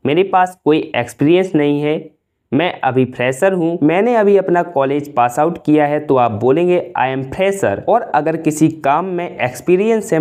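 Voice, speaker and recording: A young man explains English phrases at a very unhurried pace. He drills and repeats each model sentence so learners can follow along. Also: a little high, darkish tone, clear, resonant tone. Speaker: English teacher. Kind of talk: spoken English lesson.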